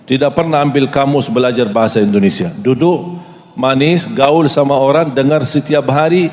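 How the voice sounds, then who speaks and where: A man's voice: mid-range voice, darkish tone. Male preacher in an echoey hall. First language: Arabic. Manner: asks the audience questions, recites in Arabic, slow deliberate listing. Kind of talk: sermon to a live audience. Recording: long echoey hall reverb.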